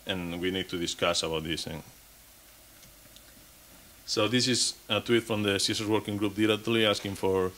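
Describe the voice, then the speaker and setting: Halting man giving a tech talk. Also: fairly low pitch, fairly even intonation, fairly bright tone. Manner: halting, many restarts and repeated words. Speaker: conference speaker. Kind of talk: technical conference talk. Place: conference stage.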